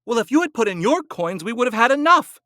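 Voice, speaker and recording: A man's voice: very high voice, huge pitch swings, a little slow, no echo, studio quality, pitched above the performer's own voice, exaggerated voice. A man voicing a quarrelsome wife in a storybook, wailing and scolding her husband. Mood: shrill scolding. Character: quarrelsome shrill village wife.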